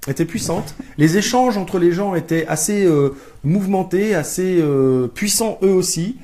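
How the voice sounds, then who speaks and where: A man's voice: high voice, measured pace, dark tone. A man co-hosting a live stream as a life coach, chatting casually, joking and searching for his words. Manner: jokey, searching for words, playful put-on slang.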